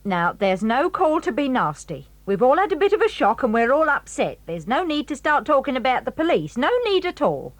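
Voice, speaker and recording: A nasty, common-spoken housekeeper in a 1980s audio drama who threatens the children and fawns on her betters. Her voice sits high and rises and falls a lot. The studio sound is dry. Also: big pitch swings, moderate pace, hardly any pauses, no echo. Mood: backing down. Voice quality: homely, ungrammatical speech. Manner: sharp and threatening, then fawning. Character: sour, spiteful working-class housekeeper woman. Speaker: Mrs Stick.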